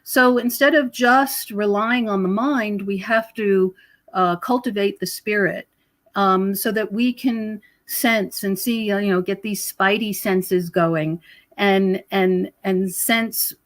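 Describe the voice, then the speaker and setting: A middle-aged or older woman speaking as a podcast guest, explaining spiritual ideas conversationally in a rambling way with pauses and repeated phrases. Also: medium pitch, fairly even intonation, no echo. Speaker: podcast guest, researcher and author. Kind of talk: conversational spiritual commentary in an interview.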